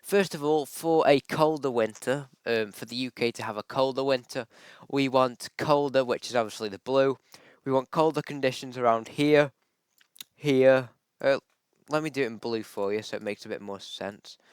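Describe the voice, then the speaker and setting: A man's voice: mid-range voice, normal speed, clear, resonant tone, no echo. A man with a bad cold and a slightly off voice, chatting casually through weather charts with plenty of ums. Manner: chatty, lots of ums and likes, thinks aloud. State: has a bad cold, voice a little off. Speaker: weather forecaster. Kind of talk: casual forecast vlog over screen-shared charts.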